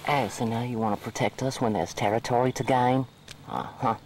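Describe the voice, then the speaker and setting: A bitter young outcast, played by a man, sneers and challenges in a folksy, mid-pitched voice. It is amateur audio drama on faintly noisy old cassette. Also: steady tone, a little fast, a little mellow, natural voice. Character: bitter, defiant homeless young outcast with a gun.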